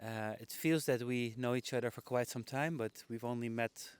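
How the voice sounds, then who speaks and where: A man's voice: medium pitch, moderate pace, clean tone. A man hosting a conversational podcast interview outdoors on a public bench, talking casually.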